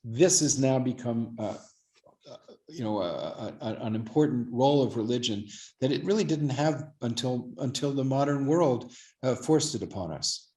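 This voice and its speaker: A man giving earnest, oratorical remarks as a guest expert, solemn and deliberate, repeating words for emphasis. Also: medium pitch, animated, mellow. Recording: echoey room.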